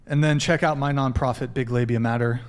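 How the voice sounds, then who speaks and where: A man hosting a casual dating podcast, reading shout-outs and joking between plugs. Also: mid-range voice, fairly even intonation, brisk. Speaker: podcast host.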